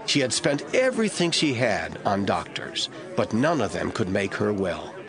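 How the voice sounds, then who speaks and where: A man narrating a Bible audio drama as scripted, expressive storytelling. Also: on the low side, moderate pace, crowd noise.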